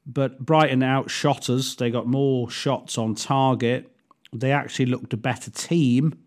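A man hosting a casual football fan podcast, leading the chat in a measured, thoughtful way. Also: medium pitch, steady tone. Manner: measured, thoughtful, leads discussion. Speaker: podcast host.

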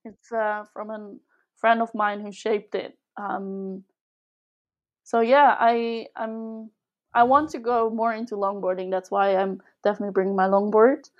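A young woman from Switzerland talks as a podcast guest in a relaxed, conversational way. Her voice sits at a middle pitch. She is chatty and a little giggly, working out her answers as she goes. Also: unhurried, highs cut off. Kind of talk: casual conversational interview.

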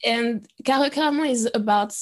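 A woman's voice: fairly high pitch, normal speed. A woman from Guadeloupe hosting a podcast interview, who listens attentively and murmurs agreement often. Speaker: podcast host.

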